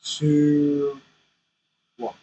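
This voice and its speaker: A man's voice: on the high side, completely flat delivery, extremely slow, warm tone, husky, dull audio. A man vlogging candidly from the cab of his semi truck, rambling and self-conscious as he chats to viewers. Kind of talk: casual chat while driving.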